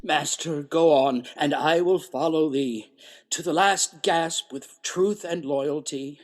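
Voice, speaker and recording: An actor playing an elderly, loyal and anxious male servant in a Shakespearean radio play, earnest and halting in old-fashioned verse. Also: mid-range voice, natural voice. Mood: devoted, earnest.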